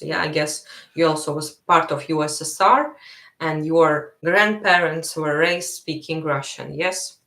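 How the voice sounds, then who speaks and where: A woman with a Latvian accent teaching a live language lesson, slowly repeating phrases for her learners. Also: on the low side, fairly bright tone, reverberant.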